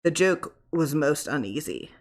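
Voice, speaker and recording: A woman reading a literary horror story aloud in expressive audiobook narration. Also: low voice, a little slow. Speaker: audiobook reader.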